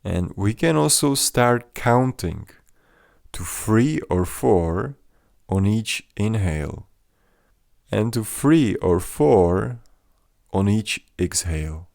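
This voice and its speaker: A man with a fairly low voice guides a mindfulness meditation. He speaks very slowly and gently, giving measured instructions. The sound is dry, with no room echo. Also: expressive, gravelly.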